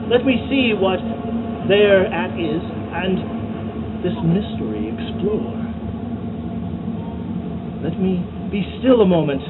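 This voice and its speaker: A man giving a theatrical, melodramatic dramatic reading of a spooky tale, deliberate and full of drawn-out pauses, recorded outdoors. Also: sits high, animated. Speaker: amateur performer reading poetry aloud. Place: outdoors at an evening party. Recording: muffled phone-like outdoor recording, loud background noise.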